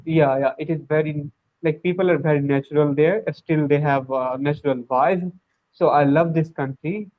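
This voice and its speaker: A man's voice: a little high, steady tone, moderate pace. A man practicing English on a video call, searching for words with many fillers and restarts. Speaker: English learner. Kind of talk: casual video-call conversation. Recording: tinny phone-like line.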